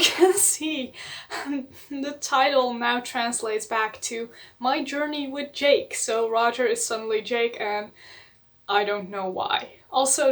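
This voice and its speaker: A young woman from the Netherlands, chatting enthusiastically in a casual book vlog and laughing often. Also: fairly high pitch. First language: Dutch. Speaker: book vlogger.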